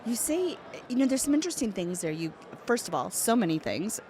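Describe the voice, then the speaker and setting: A woman's voice: on the high side, big pitch swings, a little fast. A woman hosting a conversational interview, speaking as the interviewer. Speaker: interviewer, host. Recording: faint background ambience noise.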